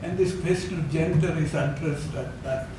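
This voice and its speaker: An older man giving a conference talk, hesitant with many fillers and soft chuckles. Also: fairly high pitch, normal speed. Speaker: academic speaker. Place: lecture hall. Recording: echoey hall, distant mic, noisy.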